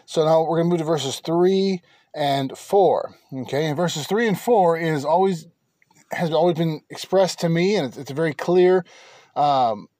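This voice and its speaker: A man hosting a Bible teaching podcast from inside his car, talking in a halting way with many fillers and restarts. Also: on the high side, darkish tone, no echo, studio quality. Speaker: podcast host and preacher.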